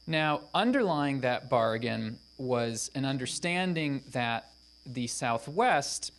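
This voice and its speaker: A man's voice: mid-range voice, animated, slow pace, fairly bright tone. A male professor speaking at an academic panel into a microphone, conversational with frequent ums. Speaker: professor of African politics. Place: conference room. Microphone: microphone at a podium.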